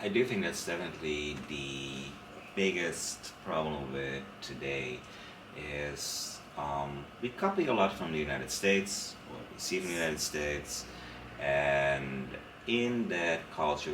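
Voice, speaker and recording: A young man with a Dutch accent speaks low and frank, slipping into lively storytelling. His voice sits far from the mic in an echoing room with a faint hiss behind it. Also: unhurried. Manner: frank, opinionated, animated storyteller. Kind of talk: casual conversation. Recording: roomy sound from a distant mic.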